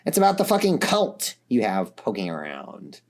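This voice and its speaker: A man voicing an angry, foul-mouthed young woman who growls her words slowly and low. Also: huge pitch swings. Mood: growling.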